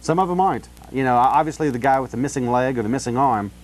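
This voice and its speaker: An American man in a casual phone conversation, talking in a halting, rambling way with frequent "you know". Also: medium pitch. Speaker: city council candidate on a phone call. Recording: telephone call.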